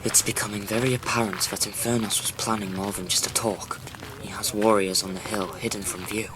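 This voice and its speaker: A man's voice: lowish voice, steady tone, fairly quick, noisy background, lightly acted. An actor playing a defiant young male immortal warrior in a fantasy audio drama, speaking firmly and formally. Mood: wary.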